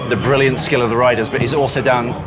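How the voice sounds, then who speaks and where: A man's voice: mid-range voice, steady tone, quick pace, over a noisy crowd. A man working as a presenter and interviewer, speaking in a dramatic, emphatic way. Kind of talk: event presenting, narration and casual interview questions.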